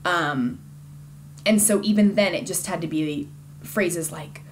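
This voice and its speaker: A woman's voice: lowish voice, slow, a little hiss or hum. A woman giving a casual, conversational book review in an informal and enthusiastic way.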